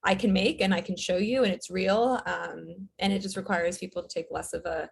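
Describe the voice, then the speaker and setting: A woman, a writer and director, talking as an interview guest in a relaxed, thoughtful way with many fillers. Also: fairly low pitch, moderate pace, creaky, slightly rough. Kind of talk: casual interview chat.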